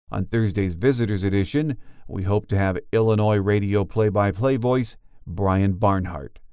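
A man's voice: fairly low pitch, warm tone, smooth, no echo, studio quality. An American man hosting a sports podcast, moving between chat and scripted notes. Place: dry studio.

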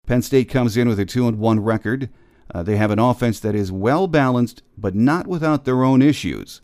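A man's voice: medium pitch, normal speed, a little mellow, no echo, clean studio sound. An American man hosting a sports podcast, delivering a scripted monologue. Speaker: podcast host.

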